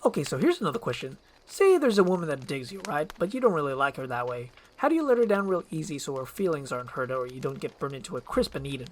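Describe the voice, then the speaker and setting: Girl putting on an eager chatterbox donkey guy, casual talk, bouncy lively pitch, fairy tale comedy. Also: quick pace, hardly any pauses, a little hiss or hum, rough recording. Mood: chatty. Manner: talkative, informal, eager. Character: chatty, excitable male donkey sidekick.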